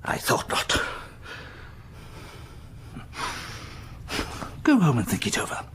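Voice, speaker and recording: A man's voice: brisk, a bit bright, a little hiss or hum, natural voice. An actor playing a pompous, domineering senior English churchman in a radio drama, blustering and patronising. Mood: stern, patronising.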